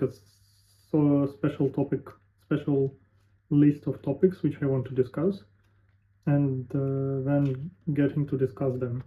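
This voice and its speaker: Musing young man, tinny lo-fi sound. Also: medium pitch. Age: 20s. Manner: musing, halting, thinking aloud with fillers. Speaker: vlogger. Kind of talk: unscripted talking to camera.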